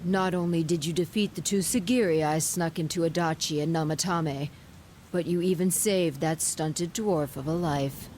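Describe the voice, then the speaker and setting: A woman voicing a haughty, scornful goddess villain in a video game cutscene, speaking in a measured, condescending and theatrical way. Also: deep voice, steady tone, a little mellow, a little hiss or hum, natural voice. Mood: cold contempt. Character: haughty, scornful goddess, coolly amused villain behind it all.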